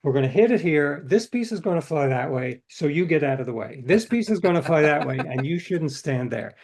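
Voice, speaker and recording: A man's voice: fairly high pitch, expressive, fairly quick, a little mellow. A middle-aged man, an author being interviewed, telling stories in a thoughtful, meandering way with restarts. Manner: thoughtful, restarts and trails off between ideas. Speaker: interview guest, novelist. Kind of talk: reflective conversational storytelling.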